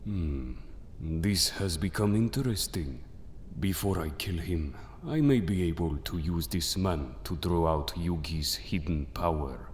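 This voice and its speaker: A man playing a grave, merciless mystic judge in an audio drama, speaking in an ominous, deliberate manner. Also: rumbling low, a little mellow, a little hiss or hum. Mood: scheming. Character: solemn, merciless mystic judge passing sentence on souls. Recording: long cavernous reverb with music behind. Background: music.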